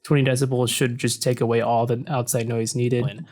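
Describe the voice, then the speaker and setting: A man with a deep voice narrating a software tutorial in a relaxed, casual way, recorded at a desk in a room without soundproofing. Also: moderate pace. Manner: relaxed and explanatory, with frequent "so" and "uh". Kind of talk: casual screen-recording walkthrough. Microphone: desk mic facing the computer screen.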